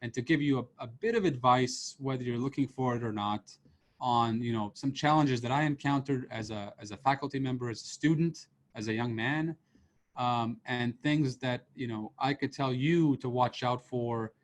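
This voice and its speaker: A man's voice: mid-range voice, moderate pace. A man in his thirties from Serbia, giving a casual lecture to students over a video call with his camera off, full of fillers and restarts. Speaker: professor giving a seminar. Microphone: computer mic on a video call, camera off.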